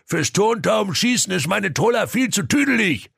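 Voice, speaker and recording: A man voicing an irate, crude father in a comic fairy tale, blustering and insulting in a raspy, strained, creaky voice. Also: high-pitched, expressive, normal speed, fairly bright tone, no room sound, studio quality, pitched above the performer's own voice, heavily acted. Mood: indignant.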